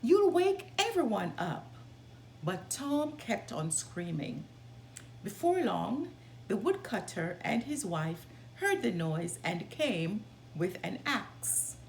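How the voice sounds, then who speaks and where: A woman storyteller giving an expressive scripted narration of a children's storybook. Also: medium pitch, slow, gravelly, slight background noise. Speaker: storyteller, channel host.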